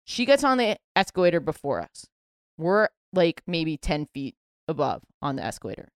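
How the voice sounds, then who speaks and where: A woman from New York with a low voice, a podcast host telling an animated story and acting out quotes. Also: normal speed, clear, resonant tone, dry recording, clean studio sound. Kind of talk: casual storytelling chat.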